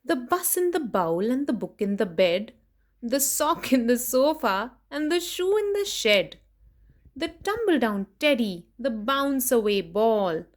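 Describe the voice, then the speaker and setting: A woman doing an expressive children's picture book read-aloud, playful in her emphasis, with chatty asides to her young listeners. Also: on the high side, unhurried. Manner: animated, sing-song rhyme reading with playful emphasis. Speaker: children's story reader and host.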